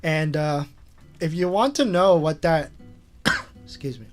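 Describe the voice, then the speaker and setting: Guy rambling thru a screen share, lots of "like", laughs at himself, kinda high voice. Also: animated, slow, faint music behind. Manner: rambling, filler-heavy, self-amused. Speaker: channel host. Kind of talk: casual screen-share retrospective.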